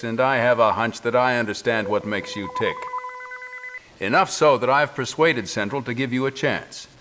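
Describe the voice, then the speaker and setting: An actor playing a stern, middle-aged military commander giving a formal, deliberate mission briefing in a game. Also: male voice, medium pitch, a bit bright, natural voice. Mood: measured, appraising. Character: stern, measured male resistance commander briefing a recruit.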